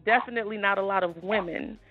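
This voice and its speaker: Gravelly-voiced interviewer on a tinny line. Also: mid-range voice, fast talker, soft background music. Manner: warm, appreciative, conversational. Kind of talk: friendly interview.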